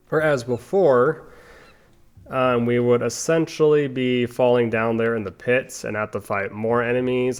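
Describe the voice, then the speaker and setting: A young man casually commenting on his game playthrough as host, rambling through chatty side stories with lots of ums and restarts while calmly guiding the viewer. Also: mid-range voice. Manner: relaxed, laid-back guiding of the viewer. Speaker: gaming channel host. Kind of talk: casual let's-play commentary.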